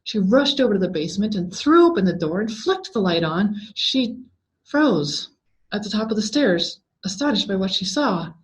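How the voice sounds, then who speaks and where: A woman reading a scary story aloud as a dramatic, expressive scripted narration full of suspense. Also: mid-range voice, normal speed, low-quality recording. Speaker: story reader.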